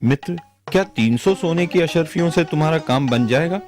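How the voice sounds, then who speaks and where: A man playing a kind, honest moneylender in a moral-story cartoon, speaking in a gentle, earnest way. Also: a little high, a little fast, soft background music, close to an everyday voice. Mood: friendly, helpful. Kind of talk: animated moral-story dubbing.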